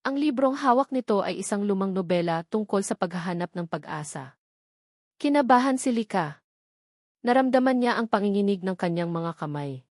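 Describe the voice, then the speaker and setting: A woman narrates a scripted audio drama. Her voice sits at a medium pitch. The sound is very dry, with no room on it. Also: expressive, normal speed, darkish tone, no echo.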